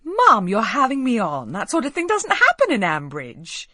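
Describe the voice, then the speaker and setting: A woman doing a higher-pitched, harried young mother. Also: wildly swooping pitch, moderate pace, bright, forceful tone, no room sound, clean studio sound, heavily acted. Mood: disbelieving. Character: harried young single mother, bright and eager with her mum.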